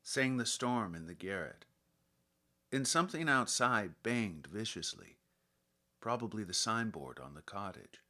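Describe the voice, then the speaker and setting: Bright-voiced man reading a story aloud. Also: on the low side, lively intonation, measured pace. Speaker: audiobook reader. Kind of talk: literary story narration.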